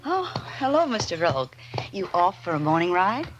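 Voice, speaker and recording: A woman's voice: lowish voice, big pitch swings, moderate pace, lo-fi sound, faint music behind. A woman playing a glamorous, evasive married woman in 1940s radio drama style, hesitant and coaxing as she speaks. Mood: polite. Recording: vintage radio broadcast, faint hiss.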